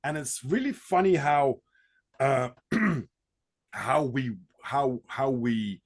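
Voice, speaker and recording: A man's voice: mid-range voice, expressive, takes it slowly, breathy edge. A man hosting a podcast, talking casually and hesitantly, with many restarts and fillers. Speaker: podcast host.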